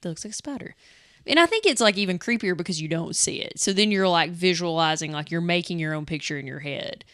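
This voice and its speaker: A woman's voice: lowish voice, expressive, fast, a bit bright, no room sound, clean studio sound. A woman co-hosting a podcast in informal, conversational chat, voicing her own reactions as she discusses a story. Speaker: podcast co-host. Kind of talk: casual chat about a horror radio drama.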